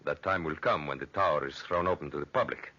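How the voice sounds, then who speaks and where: An actor in old-time radio drama playing a contemptuous genius inventor, grandiose and sarcastic. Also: male voice, a bass voice, fast, bright, forceful tone, heavily acted. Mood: scornful. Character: haughty, sardonic genius inventor, contemptuous of townspeople. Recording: dull, lo-fi old radio recording.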